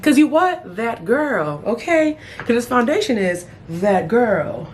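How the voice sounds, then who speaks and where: A woman in her thirties chatting casually as a beauty vlogger while doing her makeup, playful, with sudden high exclamations. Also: very animated, slow, slight background noise. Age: 30s. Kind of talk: casual get-ready-with-me chat.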